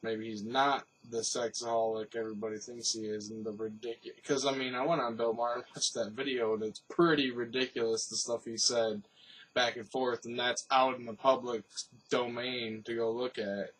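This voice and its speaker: A man's voice: medium pitch, level tone, moderate pace, fairly bright tone, recorded from a distance, a little hiss or hum, rough recording. A man giving off-the-cuff news commentary, rambling and thinking aloud. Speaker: commentator and host.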